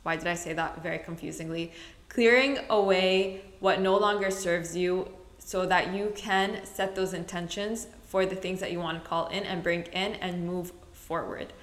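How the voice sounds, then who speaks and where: Chatty woman podcaster, low voice, roomy sound. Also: fairly even intonation, moderate pace. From Middle East. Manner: chatty, rambling, self-correcting. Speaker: podcast host. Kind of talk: casual solo podcast chat. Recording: roomy echo.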